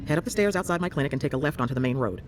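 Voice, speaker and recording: An actress playing a brisk, caring female healer in a fantasy video game, inquisitive and expressive. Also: low-pitched, slight background noise. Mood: earnest, advisory.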